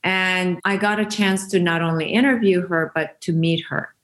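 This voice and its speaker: A woman's voice: on the low side, fairly even intonation, normal speed, a bit bright. A woman from Iran whose first language is Farsi, telling stories earnestly and reflectively as an interview guest, with frequent restarts. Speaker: interview guest, founder of a women's giving circle. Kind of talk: conversational interview storytelling.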